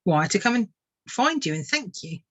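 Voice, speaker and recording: A woman's voice: mid-range voice, sing-song, normal speed. A woman voicing an eager, naive male bird in a children's storybook, cheerful, polite and innocent. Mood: innocent, chatty.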